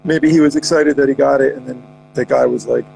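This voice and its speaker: A man's voice: mid-range voice, steady tone, fairly quick, creaky, a little mellow, a little hiss or hum, low-quality recording. A man hosting a casual podcast chat, joking around with lots of restarts and goofy asides. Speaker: podcast host.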